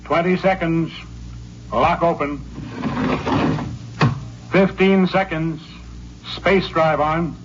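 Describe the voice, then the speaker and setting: Impassive launch controller, highish voice, very slow procedural calls, 1950s radio play. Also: male voice, fairly even intonation. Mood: impassive, official. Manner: slow, measured, procedural calling. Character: clipped, impassive male space traffic controller counting down. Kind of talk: 1950s American radio drama acting. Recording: muffled old radio recording, faint noise.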